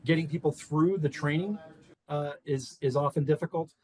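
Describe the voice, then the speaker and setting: A male professor answers interview questions off the cuff as an expert. His voice sits slightly high for a man. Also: moderate pace, darkish tone.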